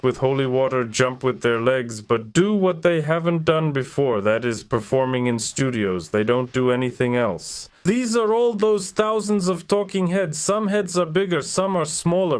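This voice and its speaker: A man's voice: a little high, clear, resonant tone, no echo. A man whose native languages are Ukrainian and Russian, hosting a sarcastic commentary video with mocking asides. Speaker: commentary channel host.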